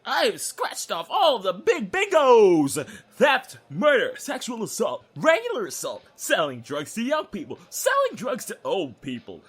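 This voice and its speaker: Man acting a cocky, high-voiced young male prisoner. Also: wildly swooping pitch, a little slow, few pauses, fairly bright tone, slightly rough, heavily acted. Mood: gleeful bragging. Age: young adult. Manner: smug, theatrical, sarcastic, taunting.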